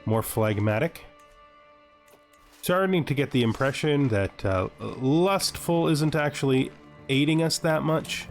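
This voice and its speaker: A man with a mid-pitched voice commentates a strategy game in a casual way. He thinks aloud and speaks wryly to the characters on screen. Soft music plays behind him. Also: slow pace. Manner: wry, talks to game characters. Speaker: gaming video host.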